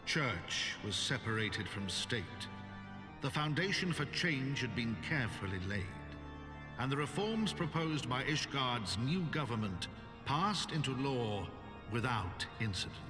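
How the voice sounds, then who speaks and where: A solemn storybook chronicler, narrates a fantasy game with formal, stately phrasing. His voice rings in a long reverberant hall, with music behind. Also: medium pitch.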